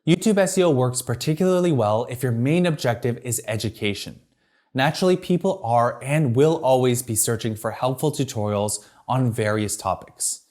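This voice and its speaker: A man presenting a scripted video marketing tutorial from a teleprompter in a clear, structured, upbeat way. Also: mid-range voice, moderate pace, a little mellow.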